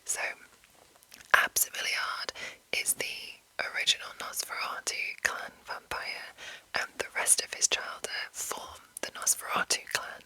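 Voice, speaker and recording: A woman whispering an informal, rambling ASMR explainer as the host, in a breathy, unvoiced voice. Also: a little slow, bright, forceful tone, no room sound, rough recording. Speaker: host of ASMR video series on roleplaying games.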